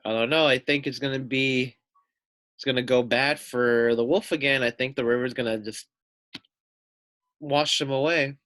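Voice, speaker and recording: A man's voice: medium pitch, steady tone, normal speed, pauses often, a bit bright, dry recording. A man reading a children's story aloud as a teacher, with chatty asides and questions to his young listeners. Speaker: teacher reading to first graders.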